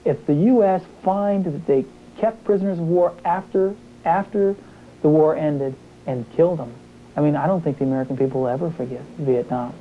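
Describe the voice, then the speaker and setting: A man's voice: a little high, moderate pace, slight background noise. Earnest man on tinny news audio. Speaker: interviewee in a news report.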